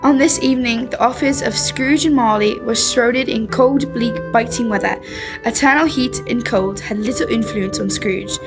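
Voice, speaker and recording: A woman's voice: on the high side, moderate pace, over music, close to an everyday voice. A young woman playing a storyteller narrating a grim tale in a radio drama, emphatic and dramatic. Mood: ominous. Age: teenager or young adult.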